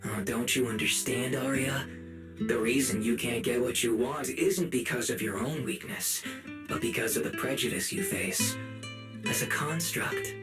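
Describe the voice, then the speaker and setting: A man's voice: mid-range voice, unhurried, music in the background, lightly acted. A man playing a sinister, taunting video game sprite who poses as a caring advisor, theatrical and falsely sympathetic. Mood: persuasive. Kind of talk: dramatic video game voice acting.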